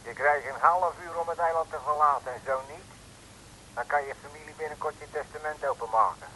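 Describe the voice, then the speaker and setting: A man's voice: fairly high pitch, a little fast, sharp tone, rough recording. An actor playing a sneering male gangster on the telephone, curt, mocking and menacing, in a 1950s radio serial. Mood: threatening. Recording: hissy old radio recording, telephone sound.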